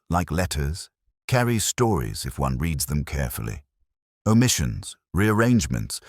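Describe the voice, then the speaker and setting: A man reading scripted first-person detective fiction in a measured, contemplative, literary manner, as a narrator. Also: very deep voice, husky, dry recording, studio quality.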